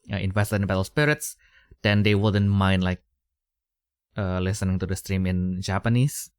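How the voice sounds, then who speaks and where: A man's voice: low voice, smooth, no room sound, studio quality. A young man, hosting a podcast in casual, rambling chat full of fillers and restarts. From Indonesia. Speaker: podcast host.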